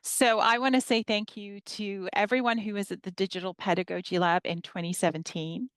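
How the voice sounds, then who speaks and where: A woman in her fifties from northern England, a history professor giving a measured workshop presentation to educators and reading her slides aloud. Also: medium pitch, steady tone, a bit bright, dry recording.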